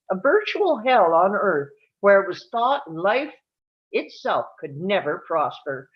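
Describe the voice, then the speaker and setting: A woman reading scripted narration aloud at a live table read, telling it like a story and now and then stumbling over a word. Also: fairly low pitch. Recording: dull, lo-fi sound.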